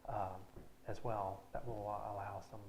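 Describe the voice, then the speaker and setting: A man's voice: on the low side, fairly even intonation, normal speed, gravelly, a little mellow. An American man chatting as an interview guest, hesitant and a little self-deprecating. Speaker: theatre director, interview guest. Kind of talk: casual chat. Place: indoor room, television interview. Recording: echoey room with background noise.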